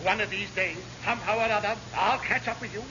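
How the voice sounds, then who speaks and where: An actor playing an exasperated, officious senior naval officer who blusters and sputters in a vintage radio comedy. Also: male voice, high voice, fairly even intonation, quick pace, natural voice. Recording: dull, noisy old radio recording.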